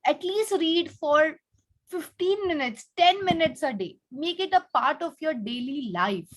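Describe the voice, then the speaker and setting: High-pitched Indian woman teaching children. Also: lively intonation, measured pace, rough recording. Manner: encouraging, instructive. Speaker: teacher hosting an online kids' class. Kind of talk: interactive class talk to children. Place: online video call. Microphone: video call.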